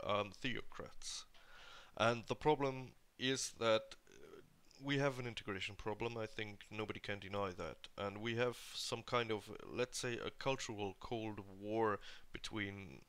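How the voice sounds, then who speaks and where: A man's voice: lowish voice, fairly bright tone, clear, resonant tone. A man speaking off the cuff in an earnest opinion monologue, hesitating often and restarting as he searches for words. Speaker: online commentator giving a video response.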